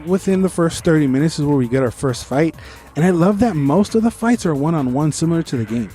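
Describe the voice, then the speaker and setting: A man's voice: a little high, expressive, quick pace, dark tone, husky, faint music behind. A man co-hosting a podcast, chatting casually about movies with slangy, enthusiastic fan talk. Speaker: podcast host. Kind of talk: casual movie review chat.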